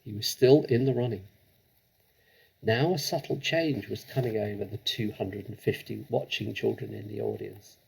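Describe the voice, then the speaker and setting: Male storybook reader: husky, creaky voice, expressive and dramatic narration, mid pitch. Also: moderate pace, rough recording.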